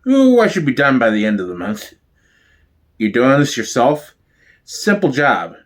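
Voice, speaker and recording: A man's voice: mid-range voice, huge pitch swings, a little slow, pitched below the performer's own voice. A man voicing an eccentric, overconfident neighbour in a sitcom reading, breezy about his grand schemes.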